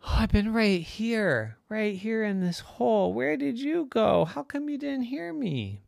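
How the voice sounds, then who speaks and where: Man as an eager storybook little boy, raised pitch, sing-song, exclamatory, very animated. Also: unhurried, dry recording, studio quality. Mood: earnest. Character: eager, excitable little boy who loves digging.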